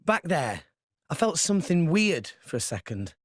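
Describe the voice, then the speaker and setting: A man's voice: on the high side, sing-song, moderate pace, dry recording. A young game hero in his teens. His voice moves up and down expressively, sincere and a little hesitant, recorded close and dry. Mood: uneasy, unsure. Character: earnest, uncertain teenage boy hero. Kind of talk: video game cutscene acting.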